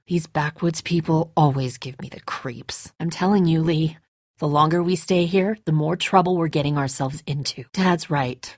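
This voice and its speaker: A woman's voice: fairly low pitch, dry recording, studio quality, natural voice. An actress playing a tense, defensive woman who leads a group of survivors in a dramatic video game, blunt, confrontational and exasperated.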